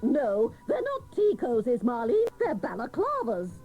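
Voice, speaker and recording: A woman's voice: sits high, wildly swooping pitch, measured pace, dark tone, full character voice. A woman playing a fussy, wailing aunt with exaggerated sing-song delivery in a comic radio drama. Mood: fussy, theatrical. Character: fussy, wailing aunt giving Christmas presents. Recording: music under voice, old cassette radio recording.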